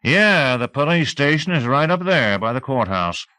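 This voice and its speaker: A sardonic, commanding police chief from a novel, as a male narrator plays him, pitched up from the storytelling voice. He needles people with mock friendliness and sounds cocky, in a close, dry recording. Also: very animated, normal speed, bright, forceful tone, clean tone, dry recording. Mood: cocky, dismissive.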